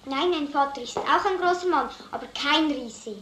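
In an echoey old drama recording, a high-voiced little schoolgirl speaks. She is earnest and stubborn, giving simple, insistent answers. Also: normal speed, a bit bright. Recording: echoey old film soundtrack.

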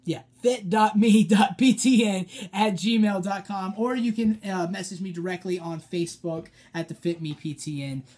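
A man's voice: sits high, normal speed, hardly any pauses, a little mellow. A young American man giving informal, emphatic coaching as a personal trainer, direct and instructive. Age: young adult. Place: small dim room indoors, seated at a desk.

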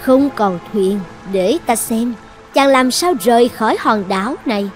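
A woman's voice: a little high, unhurried, lightly acted. A woman voicing a possessive young island sorceress in a cartoon fairy tale, coaxing and threatening with commanding self-assurance. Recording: music behind the voice.

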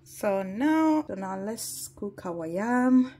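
A woman walks through a recipe in an unhurried, gentle voice at medium pitch. She talks from her home kitchen while food fries and sizzles clearly behind her. Her tone stays affectionate, warmly addressing her viewers. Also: lively intonation. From Ghana. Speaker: cooking vlogger. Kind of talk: step-by-step cooking narration. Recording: sizzling frying noise behind the voice.

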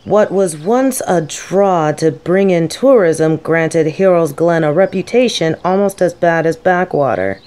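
A woman playing a gentle, scholarly female professor in an audio roleplay, speaking thoughtfully in formal words. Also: on the low side, measured pace, few pauses, natural voice. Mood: measured, grave. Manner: soft-spoken, thoughtful, formal wording.

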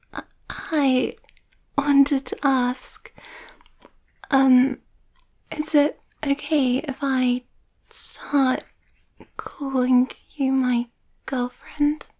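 A woman voicing a shy, sweet, anxious young werewolf girlfriend in a hesitant, soft, half-whispered ASMR roleplay. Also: high voice, full character voice.

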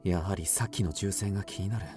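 An actor playing a gentle, educated, protective young soldier, calm and explanatory, in a radio drama. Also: male voice, deep voice, fast talker, music in the background, natural voice. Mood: reassuring, serious. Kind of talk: Japanese radio drama acting.

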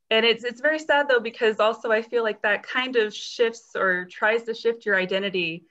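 A woman answers questions with a bright, forward tone, steady and sympathetic. Her voice comes through dull, lo-fi video call audio. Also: medium pitch. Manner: sympathetic, measured. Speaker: guest presenter answering questions.

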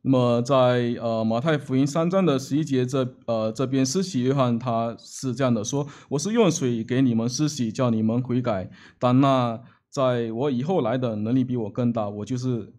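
A man's voice: mid-range voice, moderate pace, hardly any pauses, a little mellow. Hesitant man interpreting a sermon. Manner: hesitant, many filler sounds and restarts. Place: church sanctuary, seated at the front table. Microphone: table microphone.

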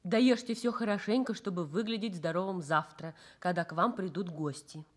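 An actress in a Soviet radio drama playing a modest, caring nurse of about twenty, simple and frank in manner. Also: female voice, fairly low pitch, moderate pace, natural voice.

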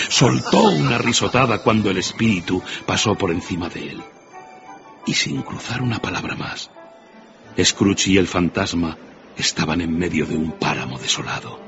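Deep-voiced male storyteller over music. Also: gravelly, muffled recording. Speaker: narrator. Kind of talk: scripted dramatic storytelling narration.

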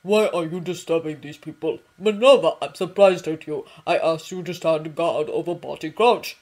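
A woman reading a story voices a calm, wise old male headmaster who speaks in a measured, commanding way. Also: low-pitched. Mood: grave. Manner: measured, grave, quietly commanding.

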